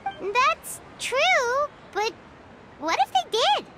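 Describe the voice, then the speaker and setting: Childlike fairy game companion, piercingly high squeak, animated intonation, inquisitive, exclamatory, heavy background noise. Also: female voice, drawn-out words, heavily acted. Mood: puzzled, curious. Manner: speaks of herself in the third person, exclamatory. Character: small childlike female fairy companion, earnest, excitable, inquisitive. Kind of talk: animated video game voice acting.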